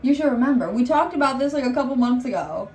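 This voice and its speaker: A woman's voice: sits high, expressive, quick pace, a little mellow. Giggly, rambling woman from Michigan. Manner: rambling, giggly, lots of fillers. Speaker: vlog co-host. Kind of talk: casual chat. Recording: echoey room with faint background noise.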